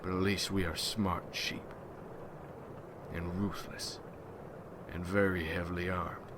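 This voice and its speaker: Man playing a low, slow, gruff vampire hunter. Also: level tone. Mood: grim. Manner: folksy, brooding, rough-spoken. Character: gruff, ruthless, wary male vampire hunter guild leader. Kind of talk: ASMR roleplay acting. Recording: noisy ambience behind the voice.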